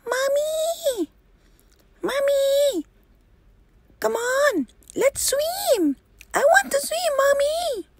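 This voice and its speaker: A man voicing an excited little girl in a squeaky falsetto, whiny and pleading. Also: drawn-out words, pauses often, dry recording, full character voice. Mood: eager, pleading. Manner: childlike, whiny, eager.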